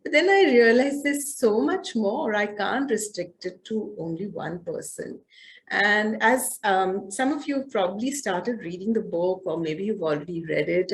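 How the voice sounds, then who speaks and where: A woman's voice: fairly low pitch, rough recording. Chatty woman author with restarts. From southern India. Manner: conversational, many fillers and restarts. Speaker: author speaking to a book club. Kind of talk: casual talk.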